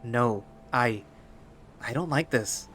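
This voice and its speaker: A man's voice: medium pitch, slow pace, fairly bright tone, slight background noise. A man voicing a frightened, defiant young man who curses as he panics. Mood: panicked. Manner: panicked, cursing.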